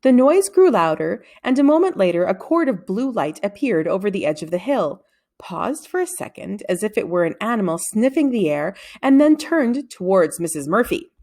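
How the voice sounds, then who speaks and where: A woman reading a children's story aloud as an expressive narrator. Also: mid-range voice, normal speed.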